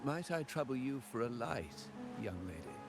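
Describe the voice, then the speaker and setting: A man playing an elderly, courteous gentleman in a video game, musing in a formal, philosophical and gravelly voice. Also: mid-range voice, very animated, normal speed, loud music behind the voice. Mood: polite, wry. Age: older. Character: elderly cultured gentleman, courteous, musing, cryptic art lover. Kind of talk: scripted video game acting.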